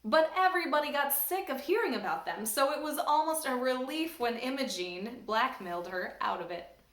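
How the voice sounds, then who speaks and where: A woman from a church staff reading a children's storybook aloud in an animated, expressive way. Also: high-pitched, moderate pace. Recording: roomy echo.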